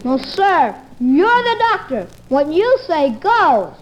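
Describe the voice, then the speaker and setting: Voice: high-pitched, sing-song, takes it slowly, background noise, exaggerated voice. A young performer playing an eager, cheeky ten-year-old boy in an old-time radio sitcom. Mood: protesting. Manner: eager, artless, full of excuses.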